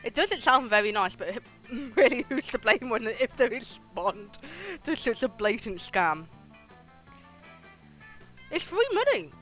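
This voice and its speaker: A streamer giving live game commentary and reading game text aloud in an animated, giggly way, with casual swearing. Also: medium pitch, slow, rough recording. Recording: music behind the voice. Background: game music.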